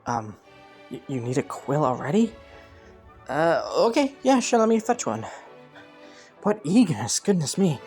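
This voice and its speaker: A man playing an ambitious young prince in a romantic fantasy audio roleplay, with formal, courtly phrasing and soft hesitations, scheming yet earnest. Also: on the high side, sing-song, unhurried, pauses often, faint music behind. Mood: flustered, surprised.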